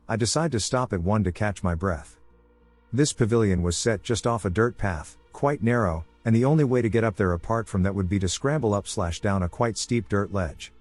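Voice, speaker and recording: Male horror narrator, low and measured, dry close-mic read over faint music. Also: no room sound. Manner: calm, measured storytelling. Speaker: narrator reading listener stories. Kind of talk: scripted horror story narration.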